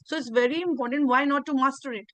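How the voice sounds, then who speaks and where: A woman's voice: sits high, steady tone, quick pace, no echo. An English teacher with an Indian accent, a native Hindi speaker, talking earnestly in a video interview and often restarting her sentences. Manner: earnest, repeats words, frequent restarts. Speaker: English teacher and channel host.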